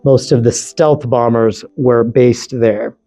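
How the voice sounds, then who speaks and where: A man's voice: mid-range voice, steady tone, slow pace, warm tone, faint music behind. A man in his forties telling his life story conversationally as a podcast guest. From United States and Saudi Arabia. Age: 40s.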